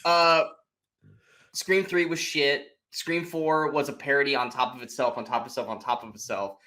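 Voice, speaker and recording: A man co-hosting a film podcast in a casual chat, animated and sweary, acting out his reactions as he retells things. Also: mid-range voice, moderate pace. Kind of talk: casual movie spoiler chat.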